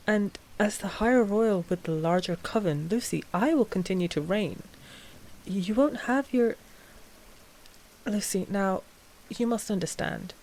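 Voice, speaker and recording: A woman playing a cautious, principled vampire coven master in a scripted ASMR roleplay, measured and a little exasperated. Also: mid-range voice, a little mellow, low-quality recording.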